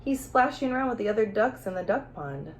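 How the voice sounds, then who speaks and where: A woman's voice: medium pitch, lively intonation, fairly quick, a little hiss or hum. A woman teacher reading a children's storybook aloud, expressively, with questions and asides addressed straight to young listeners.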